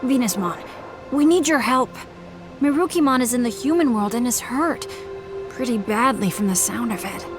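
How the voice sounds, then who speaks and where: A woman playing an earnest, excitable young companion in a fantasy video game, expressive and wide-eyed. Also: on the high side, normal speed, over music, close to an everyday voice. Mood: urgent. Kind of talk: English video game voice acting.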